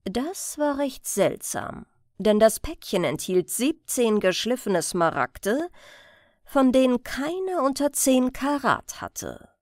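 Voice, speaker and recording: A woman reading a crime story as an audiobook narrator in a measured, careful storytelling manner. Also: high voice, big pitch swings, no echo, clean studio sound.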